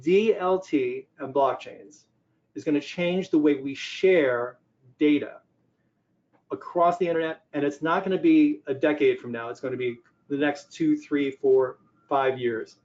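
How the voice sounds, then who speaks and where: A man's voice: fairly high pitch, a little slow, a little mellow. An older man giving a conversational presentation on business technology, explaining things by posing questions and answering them. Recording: dull, lo-fi audio.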